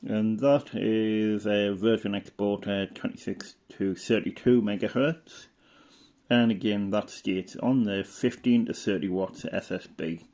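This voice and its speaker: A man's voice: on the low side, fairly even intonation, measured pace, darkish tone. A middle-aged man giving a casual, rambling show-and-tell as a hobbyist, hesitant and thinking aloud. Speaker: radio hobbyist presenter.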